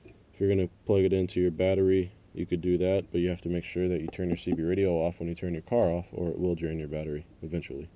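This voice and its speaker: Male, low and very mellow, plain-spoken DIY car tutorial delivery, slight vehicle noise on an action camera. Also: level tone, quick pace. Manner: plain-spoken, unscripted, explanatory. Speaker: amateur installer giving a car tutorial. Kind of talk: casual hands-on how-to demonstration.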